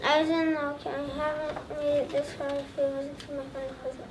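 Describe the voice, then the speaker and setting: High, flat child voice, distant. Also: fairly quick. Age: child, about 8 or 9. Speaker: third grade student presenter. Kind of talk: recited school presentation as a historical figure. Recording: distant mic, loud room noise.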